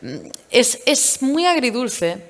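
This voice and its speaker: A woman from Portugal, a startup founder, speaking candidly and in a lively way during a stage interview at a tech event. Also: sits high, slow pace. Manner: candid, lively storytelling with fillers. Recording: reverberant event hall.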